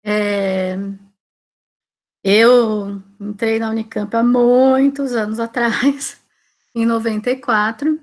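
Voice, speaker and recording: A woman's voice: a little high, steady tone, takes it slowly. A Brazilian woman in her forties from Salvador, Bahia, giving an informal talk as a teacher, conversational and often checking in with tag questions. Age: 40s. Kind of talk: informal online talk about own career.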